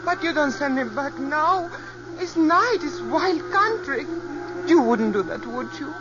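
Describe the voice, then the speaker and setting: A woman's voice: high-pitched, expressive, measured pace. An actress playing a saucy young woman stowaway in a 1950s radio western, wheedling and sulky. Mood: pleading. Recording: muffled vintage radio, loud music bed.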